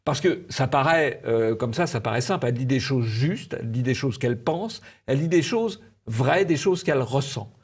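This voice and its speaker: Halting male commentator, mid-pitched. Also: lively intonation, breathy edge. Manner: halting, with repeated phrases. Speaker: media commentator. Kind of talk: conversational political commentary.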